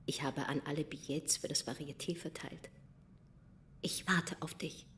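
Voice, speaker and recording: An actress playing an anxious, passionate married woman in a secret affair, hushed and imploring, in an intimate radio drama. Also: sits low, fairly even intonation, unhurried, in a whisper. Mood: conspiratorial, urging.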